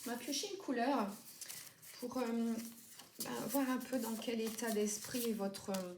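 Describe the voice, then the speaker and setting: A woman's voice: fairly high pitch, measured pace, a little mellow. A woman giving a casual tarot reading, chatting and rambling with many fillers and self-corrections. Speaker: tarot reader. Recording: echoey room with clear background noise.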